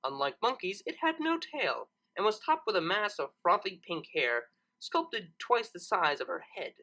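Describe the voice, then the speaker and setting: A woman's voice: medium pitch, big pitch swings, moderate pace, bright, forceful tone, low-quality recording. A woman narrating a story expressively, as a reader of fan fiction.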